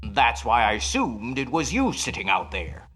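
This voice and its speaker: An actor playing a self-assured nightclub mind reader in an old-time radio mystery, with a formal, emphatic, measured delivery. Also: male voice, mid-range voice, huge pitch swings, fairly bright tone, faint music behind. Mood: grave, confident.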